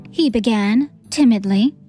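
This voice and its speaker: A woman's voice: on the high side, unhurried, mellow. A woman reading scripted fantasy storybook narration as an audiobook narrator.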